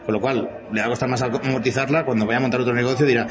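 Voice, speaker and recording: A man's voice: mid-range voice, fairly even intonation, very fast. A man in his fifties from Spain with a Spanish accent, explaining things conversationally as an interview guest in a wordy, hesitant manner. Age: 50s. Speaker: interview guest, company CEO.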